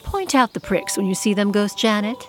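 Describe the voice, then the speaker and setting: A woman's voice: medium pitch, expressive, normal speed, fairly bright tone, slight background noise, lightly acted. A woman playing a weary, sardonic witch leader in an audio comedy, speaking in a dry, exasperated, theatrical way.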